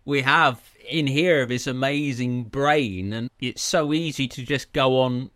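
A man's voice: mid-range voice, a little slow, fairly bright tone. A man hosting a podcast interview, earnest and appreciative, often repeating words as he starts sentences. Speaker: podcast host. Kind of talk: casual interview.

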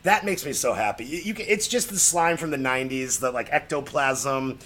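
A man in casual conversation about films, talking in a rambling, halting, crudely joking way. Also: mid-range voice, fairly bright tone. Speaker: podcast guest, actor. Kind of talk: casual film chat.

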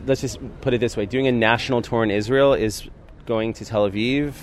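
A man from the United States giving a casual interview, talking in a relaxed way and pausing with fillers. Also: medium pitch, a little hiss or hum. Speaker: interviewee, nonprofit director.

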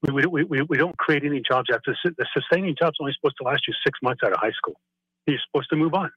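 Caller guy on talk radio, talks quick, stumbles over words, dry close sound. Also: a little high, husky, no room sound, dull audio. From New Mexico. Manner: rambling, repeats words when upset. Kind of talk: phone-in call.

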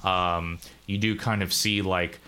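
A man's voice: deep voice, steady tone, slow, sharp tone, clean tone. A man narrating a tabletop game as game master in a casual, profane, rambling way. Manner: casual, profane, full of fillers and restarts.

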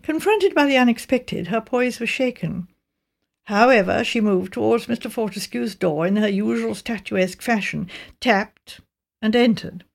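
A woman with a Received Pronunciation British accent, reading a mystery novel as scripted narration in a measured, precise way. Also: on the high side, vocal fry. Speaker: audiobook narrator.

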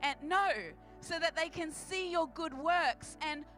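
A woman's voice: extremely high pitch, measured pace, a bit bright, soft background music. A woman with an Australian accent preaching to a church congregation in a big, emphatic voice, repeating phrases for effect. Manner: big, projecting voice. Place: church service.

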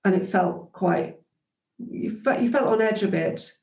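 A woman's voice: medium pitch, unhurried, creaky, darkish tone. Reflective woman in an echoey room. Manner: thoughtful, frequent ums and restarts. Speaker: interview guest, former geography teacher. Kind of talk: reflective conversational storytelling.

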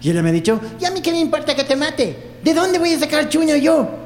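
A man's voice: very high voice, expressive, quick pace. An actor playing a dim, eager Bolivian henchman in a radio drama, deferential, flustered and literal-minded toward his boss. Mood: flustered, defensive. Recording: echoey, reverberant space with faint noise.

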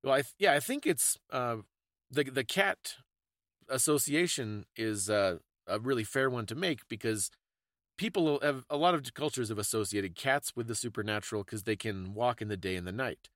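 Guy explaining stuff like a podcast host, kinda scholarly, mid pitch, dry close sound. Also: expressive, moderate pace, a bit bright, no echo. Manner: informative, scholarly. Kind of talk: conversational explanation.

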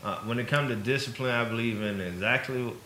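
A man's voice: mid-range voice, fairly quick, bright, forceful tone, reverberant, a little hiss or hum, low-quality recording. A man speaking bluntly and casually about discipline, laughing between points. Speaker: father in a couple interview.

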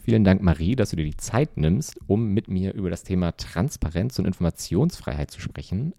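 A man hosting a podcast who thanks guests, then explains in a calm, orderly way before introducing the next interview. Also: low-pitched. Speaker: podcast host. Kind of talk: interviewing and scripted narration.

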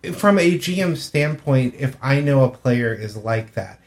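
Male co-host, medium pitch, plain-spoken and earnest, casual hobby panel chat. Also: animated, a little slow. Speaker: podcast co-host. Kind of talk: casual panel chat about tabletop games.

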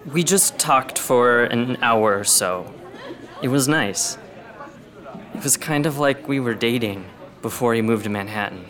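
A man's voice: mid-range voice, unhurried, a bit bright, a faint crowd, close to an everyday voice. A man playing a guarded but easygoing teenage prep school boy in a radio drama, speaking in an understated, hesitant way. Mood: confiding.

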